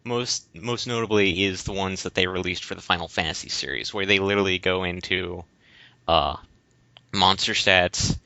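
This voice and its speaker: Male podcast co-host, casual and rambling with halting explanations. Dry but dull, lo-fi recording. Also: deep voice, gravelly, bright, forceful tone, dry recording. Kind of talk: casual discussion of games.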